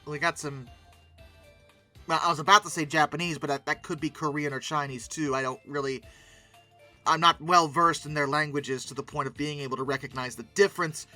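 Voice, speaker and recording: An expressive, emphatic host giving casual reaction commentary, often breaking into exclamations. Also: mid-range voice, a little fast, faint music behind. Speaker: host of a paleoart commentary channel.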